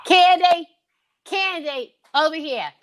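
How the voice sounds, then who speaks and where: A woman's voice: extremely high pitch, slow pace, background noise, pitched above the performer's own voice. A woman playing a worried department store advertising woman in 1940s radio acting. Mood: worried, urgent.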